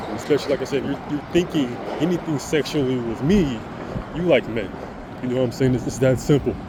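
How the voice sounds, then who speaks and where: A man with a deep voice giving an earnest, rambling monologue to camera, restarting and stammering often. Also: fast, background noise. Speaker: vlogger.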